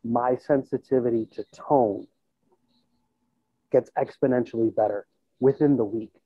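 A man's voice: medium pitch, unhurried, slightly rough, no echo. A man in his thirties, a musician and band teacher, talking reflectively in a casual interview and thinking aloud as he goes. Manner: conversational, thinking aloud, checks in with "you know" and "right?". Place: indoors in a plain room on a video call. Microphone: earbud headset. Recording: tinny, band-limited video call audio.

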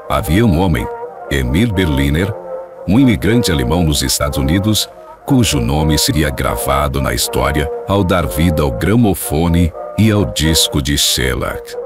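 An extremely deep-voiced man narrates a scripted radio drama. His delivery is formal and dramatic, over prominent music. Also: expressive, normal speed.

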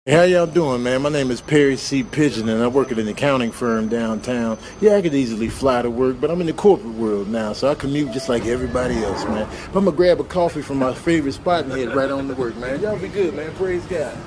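A man's voice: mid-range voice, lively intonation, fast, hardly any pauses, background noise, lightly acted. A man voicing a polite, self-assured pigeon commuter in a friendly, matter-of-fact way for a comedy dub.

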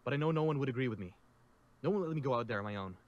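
A man's voice: mid-range voice, animated, rapid-fire, muffled recording, close to an everyday voice. A man playing a level-headed, peacemaking survivor in an improvised zombie roleplay, reasoning and placating. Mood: earnest.

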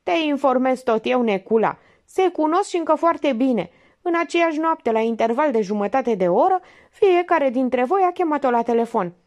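A woman voicing a sharp, sarcastic older female amateur detective who needles a suspect with pointed, mocking remarks. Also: sits high, lively intonation, pitched above the performer's own voice.

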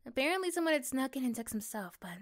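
A woman playing an affectionate, reassuring young girlfriend in a soft, gentle ASMR roleplay. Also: sits high, sing-song, fast. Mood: storytelling. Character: affectionate, reassuring young girlfriend chatting intimately to her partner.

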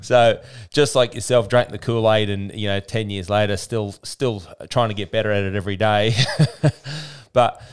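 An Australian man in his forties hosting a podcast interview, relaxed and conversational, on a studio microphone. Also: on the low side, normal speed, clear, resonant tone. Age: 40s. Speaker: podcast host. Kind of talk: casual interview. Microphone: studio mic with headphones.

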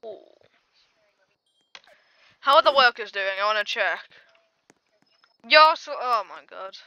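A woman's voice: a little high, expressive, slow pace, bright, forceful tone, no room sound, muffled recording. A young woman giving casual, rambling gameplay commentary with dry, playful asides. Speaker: gaming video host.

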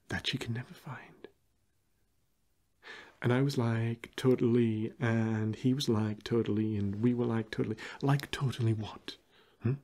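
A man playing a fussy, old-fashioned, melancholy gentleman vampire in a comic ASMR roleplay, speaking softly, courtly and hesitantly. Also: on the low side, heavily acted. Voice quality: breathy, husky soft voice.

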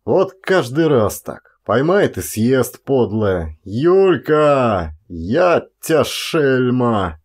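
An actor voicing a gruff, colloquial male hunter who grumbles, in an audiobook story. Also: medium pitch, very animated, very slow, hardly any pauses, heavily acted. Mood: angry. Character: gruff male hunter, plain-spoken, grumbling at his dog.

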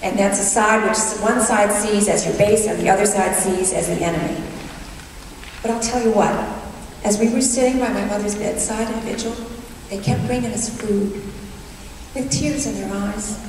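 A middle-aged woman giving a formal commencement address in an earnest, measured way with touches of humor, speaking at a podium on an auditorium stage. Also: medium pitch, darkish tone. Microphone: podium microphone heard from the hall. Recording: distant echoey hall recording with noise.

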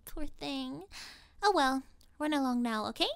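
High-pitched smug mean cheerleader girl played by a woman. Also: measured pace, vocal fry, a little hiss or hum. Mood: smug, mocking. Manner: condescending, giggly, saccharine pet names. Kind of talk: scripted ASMR roleplay acting.

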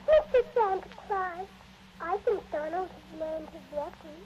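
A sweet, polite little girl in a radio Christmas play. The voice is very high, on a muffled, noisy 1940s recording. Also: lively intonation, unhurried. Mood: polite pleading.

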